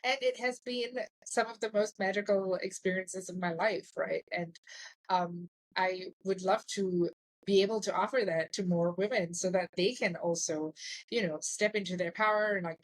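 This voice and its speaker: A woman's voice: medium pitch, no echo, poor audio. A young woman from Singapore, chatting as a podcast guest and filling her talk with "like" and "you know.". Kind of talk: casual interview chat.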